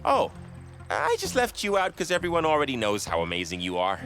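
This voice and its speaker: An actor playing an eager, sincere young hero in an animated video game, earnest and encouraging. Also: male voice, medium pitch, brisk. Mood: heroic, sincere. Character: eager, sincere, supportive young male hero and comic-book fan. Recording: music underneath the voice. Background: music.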